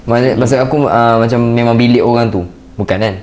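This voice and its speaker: A man's voice: medium pitch, steady tone, fast, darkish tone. A young man with a Malaysian accent hosting a casual chat and prompting with questions.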